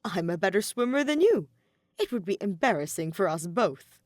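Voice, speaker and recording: A woman's voice: medium pitch, very animated, normal speed, darkish tone, no echo, clean studio sound. A woman voicing an earnest, spirited young nobleman in love, speaking in an emphatic, formal, teasing way for a story.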